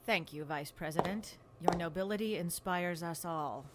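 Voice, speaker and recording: An actress playing a stern, bossy scientist in a radio drama, curt and condescending. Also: female voice, fairly low pitch, moderate pace, slight background noise, lightly acted. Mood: dryly condescending.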